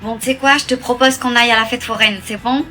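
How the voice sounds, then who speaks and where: Actress as playful young date, bright voice. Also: female voice, fairly high pitch, fairly even intonation, fast, faint music behind. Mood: playful, teasing.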